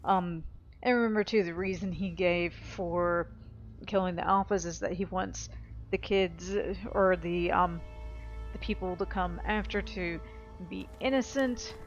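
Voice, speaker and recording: A woman's voice: on the low side, measured pace, lo-fi sound, soft background music. A woman giving casual gameplay commentary, chatty and explanatory, often hedging her thoughts.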